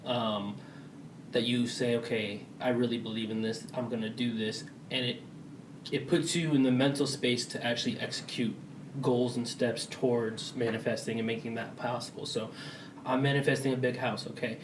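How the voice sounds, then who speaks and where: A man's voice: medium pitch, steady tone, moderate pace, noisy background. Young man, podcast co-host, thoughtful, long explanations, distant mic, echoey room. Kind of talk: casual chat.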